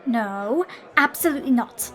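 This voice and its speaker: A woman's voice: high voice, lively intonation, unhurried, fairly bright tone, faint music behind, a faint crowd. A woman playing an earnest young female pony traveler in an audio drama, polite, eager and emotional. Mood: indignant.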